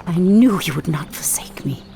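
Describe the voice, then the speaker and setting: An actress playing a valiant elf warrior priestess in a fantasy game, with a formal, theatrical delivery. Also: female voice, fairly low pitch, lively intonation, normal speed, vocal fry, mellow, slight background noise. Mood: moved. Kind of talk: dramatic fantasy game acting.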